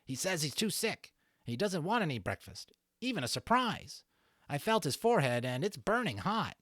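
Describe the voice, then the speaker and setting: A man voicing a strong, quick young boy mouse in a storybook, eager, earnest and confident. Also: fairly high pitch, very animated, a bit bright, dry recording, studio quality.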